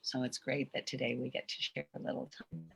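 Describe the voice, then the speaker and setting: This Canadian woman has a notably low voice and talks in an animated, rambling way, often restarting her sentences. She is a voice actress answering questions over a video call. Her audio is close and dry. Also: brisk, gravelly, husky, no echo. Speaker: voice actress guest. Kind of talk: casual chat in a video call Q&A.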